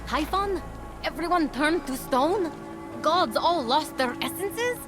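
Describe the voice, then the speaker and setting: An actress voicing an eager, earnest and impatient young female warrior in a video game cutscene, exclaiming and animated. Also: high voice, slow pace, music in the background. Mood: exasperated.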